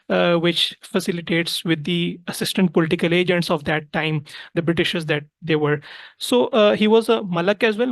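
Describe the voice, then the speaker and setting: A woman's voice: lowish voice, no room sound. A woman from Pakistan with a Pakistani accent, telling a personal story earnestly as an interview guest and often rephrasing points.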